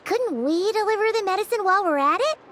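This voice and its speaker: A woman voicing a chirpy, excitable little fairy girl companion in a video game, bubbly and theatrical. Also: very high-pitched, fast, a little hiss or hum. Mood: scheming, playful. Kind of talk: animated video game dialogue.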